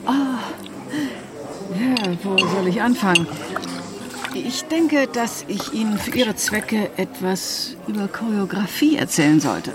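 A woman's voice: mid-range voice, expressive, takes it slowly, a crowd in the background. A woman playing a shrewd, educated ex-bank robber turned consultant in a radio drama, explaining things in a matter-of-fact, instructive way. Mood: self-assured. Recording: loud music bed underneath.

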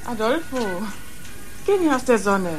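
A woman's voice: fairly high pitch, big pitch swings, unhurried, faint music behind, poor audio. An actress playing a very young gangster's girlfriend in a radio crime drama. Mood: drowsy. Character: very young, panicky drug-addicted gangster's girlfriend.

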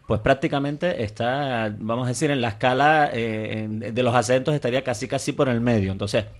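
A man's voice: medium pitch, a little fast. Firm, opinionated Canarian man. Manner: firm, opinionated, emphatic with repeated words. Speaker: English teacher, panel guest. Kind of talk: casual panel discussion.

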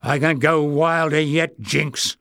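A man voicing a big, gruff, quick-tempered man with a growling, commanding delivery in an audiobook. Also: medium pitch, fairly bright tone. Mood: growling menace. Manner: thundering, snapping, commanding. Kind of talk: acted character voice in audiobook narration.

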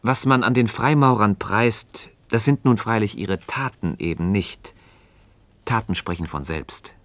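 An actor playing an enigmatic, measured man in a radio play, speaking deliberate, emphatic in philosophical dialogue. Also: fairly low pitch, no room sound, close to an everyday voice. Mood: measured, teasing. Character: enigmatic, measured man hinting at secrets to a friend.

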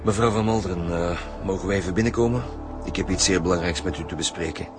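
An actor playing an authoritative, wry Flemish chief homicide detective in a radio crime drama. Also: male voice, deep voice, fast, music in the background, close to an everyday voice. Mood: businesslike.

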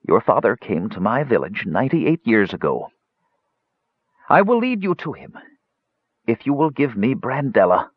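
A man playing a desperate, stubborn old wizard in an audiobook, speaking slowly and urgently in a strained, labored voice. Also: mid-range voice, sing-song, slightly rough, no echo, dull audio, pitched above the performer's own voice. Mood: weary, solemn. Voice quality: strained, strangled.

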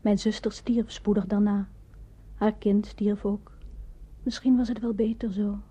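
A woman's voice: medium pitch, little pitch movement, a little slow, dark tone, breathy edge. An actress playing a grieving, haunted woman telling of a family tragedy in a radio drama. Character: grieving woman telling of her dead sister's starving child. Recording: dull muffled old radio recording.

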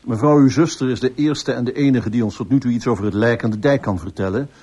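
An actor playing a composed, firm police inspector, polite and authoritative, in a radio drama. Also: male voice, mid-range voice, quick pace, mellow, husky, lo-fi sound, lightly acted. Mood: firm, probing. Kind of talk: Dutch radio drama acting.

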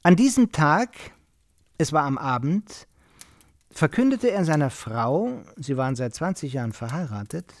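A genial, talkative host in his forties in a naturalistic radio drama. His pitch swings widely as he makes effusive, slightly needling small talk, recorded very dry and close. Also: animated, measured pace, no room sound. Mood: storytelling. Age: 40s. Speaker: actor in a radio play. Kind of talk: naturalistic radio play acting.

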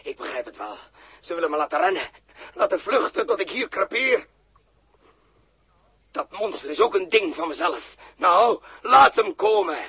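A man's voice: high voice, very animated, normal speed, pauses often, bright, forceful tone, big-room sound, exaggerated voice. An actor playing a feverish, guilt-haunted man in a theatrical Dutch 1960s radio drama. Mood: fearful whispering dread. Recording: muffled, rough 1960s radio recording.

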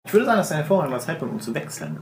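A man narrating a tabletop roleplaying game as game master, improvising in a halting way. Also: fairly high pitch, very animated. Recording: echoey room with clear background noise.

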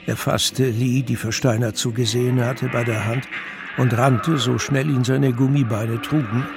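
A man's voice: mid-range voice, steady tone, a little slow, gravelly. A man reading dramatic scripted narration for a thriller audio drama. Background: music.

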